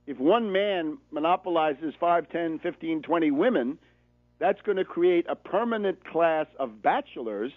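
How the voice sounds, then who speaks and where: A man's voice: fairly high pitch, no echo. An American man giving political radio commentary like a lecture, didactic and emphatic, hammering his points with insistent repetition. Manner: didactic, often checks with "right?". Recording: narrow phone-like radio audio.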